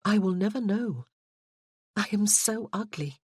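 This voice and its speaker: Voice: medium pitch, animated, a little mellow, slightly rough, no echo, voice pushed up. Reader doing a spirited, haughty young lady in a period romance audiobook; dry studio sound. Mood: laughing protest. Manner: haughty, quick to tease back. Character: proud spirited young noblewoman. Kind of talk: audiobook character voicing.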